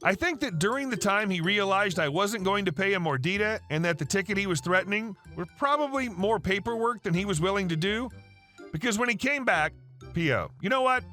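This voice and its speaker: A man reading story posts aloud as narrator, in an even, unhurried way. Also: high-pitched, soft background music.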